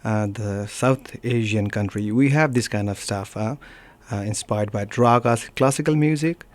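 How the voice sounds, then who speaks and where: A man from Nepal with a Nepali accent, a musician talking casually in an interview, often pausing to search for words. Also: lowish voice, no echo. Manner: halting, searching for words, frequent "you know". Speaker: musician being interviewed, guitarist. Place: radio studio.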